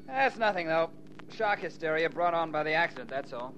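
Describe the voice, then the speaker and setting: An actor playing a brisk, matter-of-fact ambulance doctor in 1940s radio drama style. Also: male voice, on the high side, lively intonation, a bit bright. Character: brisk male ambulance doctor rattled by a death. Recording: dull, noisy 1940s radio recording.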